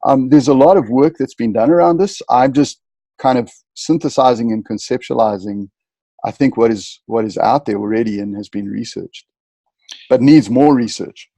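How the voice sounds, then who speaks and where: A man from southern Africa answers interview questions in a lecturing way, dense with specialist terms. His voice is gravelly and mellow, a little husky, and the sound is dry and close. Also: mid-range voice, moderate pace, no echo. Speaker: guest, leadership consultant.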